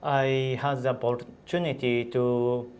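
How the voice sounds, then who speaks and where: A man's voice: medium pitch, little pitch movement, slow pace, a little mellow. A man from Syria, a physician, answering interview questions in English with many fillers and restarts. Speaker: guest, physician.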